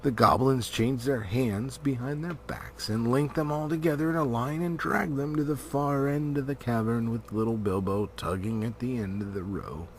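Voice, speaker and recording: A man narrating a storybook as an amateur reader, theatrical, with drawn-out words and pauses. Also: mid-range voice, a little hiss or hum. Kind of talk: dramatic storybook reading.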